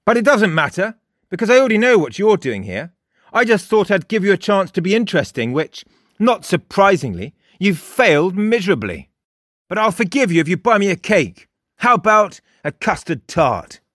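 Guy putting on a sly fox-like teen boy, high and sing-song, rapid-fire mocking. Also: sing-song, a bit bright, dry recording, studio quality, exaggerated voice. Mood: boastful. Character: mischievous teenage boy, fox-like, cocky and theatrical. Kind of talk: audiobook character voice.

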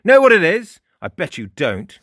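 A man's voice: high voice, wildly swooping pitch, fairly bright tone, dry recording, studio quality, pitched above the performer's own voice, heavily acted. A male narrator voicing a mischievous, fox-like teenage boy who taunts and lectures in a lofty, mocking way. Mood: boastful. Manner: mocking, lofty, rapid-fire barrage. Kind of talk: audiobook character voice.

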